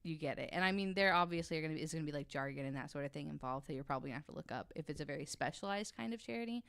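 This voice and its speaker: Low-pitched woman with a clear, smooth voice. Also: expressive, rapid-fire, fairly bright tone. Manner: informal, frank. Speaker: podcast panelist. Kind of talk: casual explanatory podcast talk.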